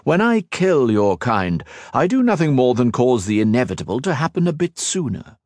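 A man's voice: medium pitch, big pitch swings, moderate pace, darkish tone, no room sound, voice pushed up, close to an everyday voice. A man voicing an ancient, arrogant cosmic being who talks down to mortals in a lofty, contemptuous way. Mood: disdainful. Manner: haughty, lofty, lecturing.

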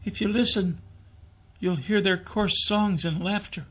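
A man's voice: high voice, measured pace, fairly bright tone, breathy edge, voice pushed up, full character voice. A man voicing a frightened, solemn little boy who speaks in simple, earnest phrases, in a storybook narration. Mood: disdainful.

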